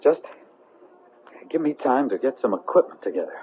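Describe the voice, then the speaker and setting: A man's voice: mid-range voice, big pitch swings, normal speed, close to an everyday voice. A man playing an earnest, flustered scientist in a 1970s radio drama, exclaiming and stammering over his words. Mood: resigned, earnest. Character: astonished, flustered male scientist, earnest and cautious. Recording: muffled, noisy old radio broadcast.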